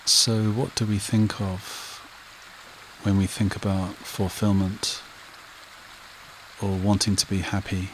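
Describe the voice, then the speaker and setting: A man leading a guided meditation and hypnosis in a soothing, repetitive manner with short phrases and long pauses. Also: fairly low pitch, a little hiss or hum, poor audio. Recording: rain and running water behind voice. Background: steady rain and stream sounds.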